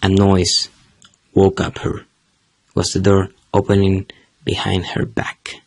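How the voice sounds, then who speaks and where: A man's voice: low-pitched, completely flat delivery, slow, darkish tone, a little hiss or hum. A young man, a native Spanish speaker with a Chilean accent, narrating a scripted horror radio play in a dramatic, suspenseful way. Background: prominent music.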